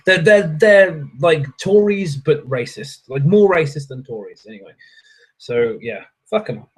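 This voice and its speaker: A man's voice: on the high side, expressive, a little slow, breathy edge. A young man, chatting casually with viewers on a stream, ranting and swearing with frequent laughs. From England.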